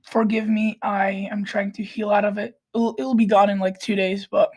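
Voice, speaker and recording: Hoarse, raspy woman with a cold; level, steady weather explainer, clears her throat often. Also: medium pitch, moderate pace. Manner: frequent restarts and throat clearing. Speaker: weather vlogger. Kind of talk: casual weather forecast walkthrough.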